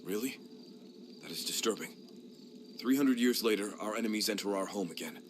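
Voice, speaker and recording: A grave, watchful lord commander, played by a man, deliberate in delivery. His voice is very deep. A clear hiss sits under the recording. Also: natural voice. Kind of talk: game cutscene voice acting.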